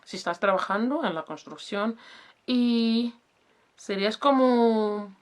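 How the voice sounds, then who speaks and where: A woman's voice: fairly high pitch, takes it slowly. A woman whose first language is Portuguese, casually explaining self-employment rules and often stopping to search for words and correct herself. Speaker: business advice vlogger.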